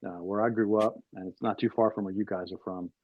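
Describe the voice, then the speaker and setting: A man's voice: on the low side, steady tone, fast talker, dry recording, dull audio. A man in his forties from Maryland, a podcast guest telling stories in a rambling way with lots of fillers. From Maryland, USA. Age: 40s. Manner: rambling storyteller, many fillers. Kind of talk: casual chat.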